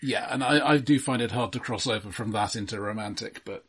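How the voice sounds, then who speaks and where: A man co-hosting a film podcast, chatting casually about movies in a measured, halting, dryly wry way. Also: lowish voice, background noise. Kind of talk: casual film review chat.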